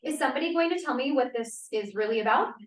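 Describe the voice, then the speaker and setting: A woman reading the part of a confident, principled young scientist in a period drama, measured and earnest. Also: high-pitched, animated, far from the mic. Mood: wary, candid. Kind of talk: table read of a period drama. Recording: echoey room.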